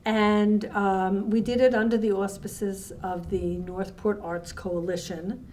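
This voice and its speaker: A woman recalling her work as a festival co-founder in a conversational documentary interview, haltingly searching for words. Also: mid-range voice, little pitch movement, dark tone. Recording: distant mic with background noise.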